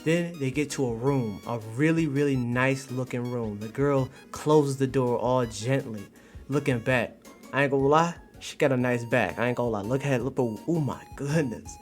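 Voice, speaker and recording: A man's voice: mid-range voice, normal speed. A young American man giving casual, playful livestream commentary to his chat, telling stories in a slangy, exaggerated way. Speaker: streamer and content creator. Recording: music behind the voice. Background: music.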